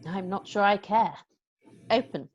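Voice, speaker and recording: A woman playing a brisk, unflappable, firm yet playful nanny, crisp and commanding, in a musical play read-through. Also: medium pitch, highs cut off, lightly acted. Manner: crisp, commanding, matter-of-fact.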